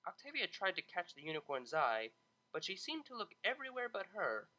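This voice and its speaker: A narrator giving an expressive, dramatized reading of a scripted story. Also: mid-range voice, a little fast, low-quality recording. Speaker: audiobook narrator.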